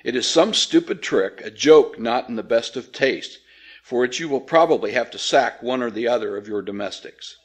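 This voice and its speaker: A man voicing a proper gentleman houseguest in an audiobook, formal and stiffly calm in manner. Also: mid-range voice, normal speed, slightly rough, lightly acted. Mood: stiff, insistent. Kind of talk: audiobook character voice.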